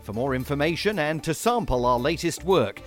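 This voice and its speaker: A male announcer reading a radio ad in a punchy promo style. His voice sits fairly high and moves around a lot, with faint music underneath. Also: expressive, moderate pace. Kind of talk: scripted radio ad read.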